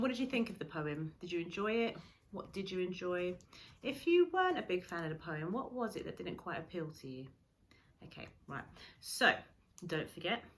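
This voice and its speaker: Female primary teacher, lowish pitch, informal story-time delivery aimed straight at young pupils. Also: slight background noise. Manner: addresses children directly with questions.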